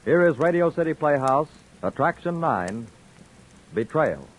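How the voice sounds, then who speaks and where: Brief: male radio announcer, lively rising inflection, formal old-time presentation. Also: fairly high pitch, unhurried, low-quality recording. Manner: crisp, declamatory presentation.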